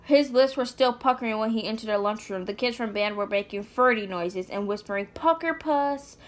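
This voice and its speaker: A woman's voice: mid-range voice, fairly even intonation, a little fast. A woman reading a children's picture book aloud in an informal way, with chatty asides to a small child. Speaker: mother reading aloud to a child, vlog host. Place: indoors, sitting on the floor.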